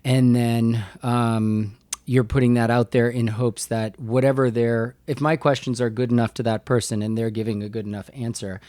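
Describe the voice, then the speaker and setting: Man, podcast guest, average pitch, casual halting delivery, dry close sound. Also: level tone, a little mellow, no echo. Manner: halting, informal, swears casually. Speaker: podcast guest, business owner. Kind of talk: casual conversational interview.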